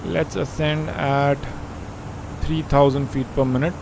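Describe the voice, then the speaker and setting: A man's voice: on the high side, steady tone, unhurried, creaky, background noise. A young man from India with an Indian accent, chatting casually and joking with viewers while thinking aloud during a live stream.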